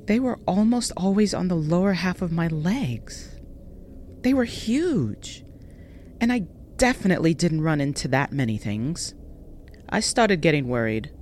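A woman reads a scripted first-person scary story in a measured, dramatic way, drawing out some phrases. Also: low-pitched, a little mellow, slight background noise. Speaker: narrator reading a listener's scary true story.

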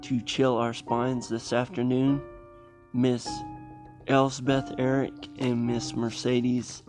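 A man hosting a podcast, reading a scripted introduction in deliberate, halting phrases. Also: medium pitch, little pitch movement. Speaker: podcast host. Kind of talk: scripted introduction of old radio plays. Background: music.

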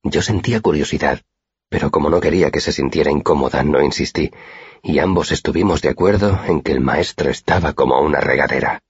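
A man's voice: very deep voice, brisk, husky, no room sound. A man reading a first-person literary story as an audiobook narrator, in a dull, muffled recording.

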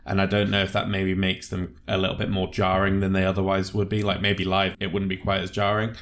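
Male co-host, deep voice, measured and casual, reviewing music. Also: monotone, a bit bright. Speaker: podcast co-host.

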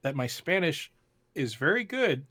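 A man's voice: a little high, very animated, measured pace, sharp tone. A man co-hosting a podcast, talking casually and rambling with frequent restarts and self-corrections. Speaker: podcast co-host. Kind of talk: casual chat.

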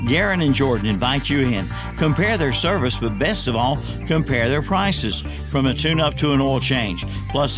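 A man hosting local radio and reading ads in a folksy manner. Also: medium pitch. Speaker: radio swap shop host and ad reader. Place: radio studio.

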